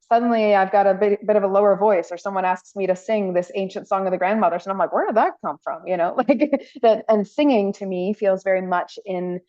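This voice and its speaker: A woman's voice: mid-range voice, brisk, few pauses, mellow, lo-fi sound. A woman hosting a casual chat show, telling stories with frequent laughter and fillers. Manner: storytelling, laughs often, many fillers. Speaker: podcast host.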